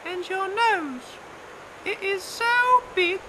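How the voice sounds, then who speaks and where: A very high, loud little fairytale girl, played by a woman, full of curious questions. She exclaims them as in an amateur stage play, over clear outdoor noise. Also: slow pace. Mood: wondering, curious. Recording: noisy outdoor amateur live recording.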